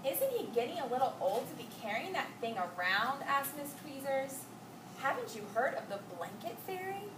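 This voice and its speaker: High-voiced woman reading aloud, echoey room. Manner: expressive, pausing to ask and echo children's answers. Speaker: teacher reading aloud to children. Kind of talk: storybook read-aloud with questions to children. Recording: echoey, noisy room, distant mic.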